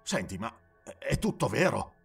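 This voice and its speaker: Man playing an animated, enthusiastic young ghost hunter. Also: on the low side, normal speed, gravelly, slightly rough, far from the mic, faint music behind, exaggerated voice. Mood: impressed. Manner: blunt, enthusiastic, bantering. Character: muscular, easily impressed young male ghost hunter.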